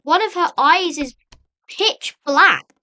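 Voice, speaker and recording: A woman narrating a dramatic story recap in a halting, suspenseful manner, repeating phrases for effect. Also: extremely high pitch, sharp tone. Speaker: video narrator and host.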